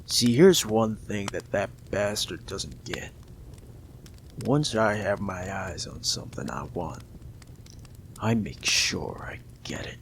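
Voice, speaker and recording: A man playing a gravelly, whispering mob boss. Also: lowish voice, animated, a little hiss or hum. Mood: possessive. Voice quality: gravelly, often dropping to a whisper. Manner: slow, taunting, coldly affectionate. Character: possessive menacing male mob boss, young adult. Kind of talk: ASMR audio roleplay.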